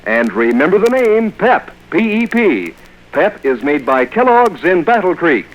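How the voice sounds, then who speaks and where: Animated high-pitched American radio announcer, male. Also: unhurried. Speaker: announcer reading cereal ad. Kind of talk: 1940s radio announcing, scripted chummy pitch. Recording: dull, band-limited old radio show record.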